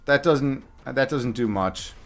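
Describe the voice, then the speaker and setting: A man's voice: mid-range voice, takes it slowly. A man giving casual live commentary on his own game as a streamer, muttering half-finished thoughts to himself as he thinks aloud.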